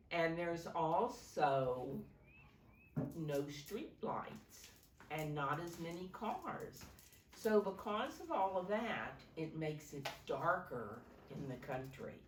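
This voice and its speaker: A woman's voice: deep voice, big pitch swings, slow pace. A woman teaching and reading a story aloud to preschoolers in simple, instructive language, often putting questions to her listeners. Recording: roomy, distant mic, faint noise.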